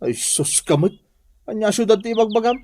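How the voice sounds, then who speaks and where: Tipsy Ilocano drinking pal for radio comedy: grumbling, apologetic, very animated delivery. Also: male voice, high voice, fairly quick. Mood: grumbling banter.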